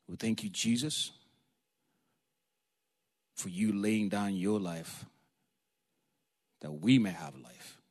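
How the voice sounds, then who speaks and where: A man from Africa, a preacher giving a sermon in a lively, joking, storytelling way and calling for amens. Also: on the low side, slow, pauses often.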